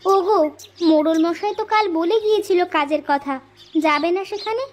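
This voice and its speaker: Woman as a high-voiced, fussing village wife. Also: moderate pace, soft background music. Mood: chiding. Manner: nagging but caring. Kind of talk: cartoon character acting.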